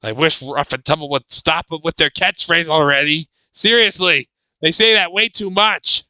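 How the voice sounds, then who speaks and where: Guy doing casual reaction commentary, really bright clear voice, kinda halting, repeats himself thinking out loud. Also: a little high, no echo. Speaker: reaction video host.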